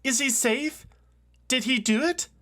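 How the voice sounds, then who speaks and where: A man's voice: very high-pitched, unhurried, a bit bright, pitched above the performer's own voice. A man voicing an anxious, earnest female avian in a fantasy audio story, asking in an urgent, worried manner. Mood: anxious hope. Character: anxious, earnest female bird-person warrior.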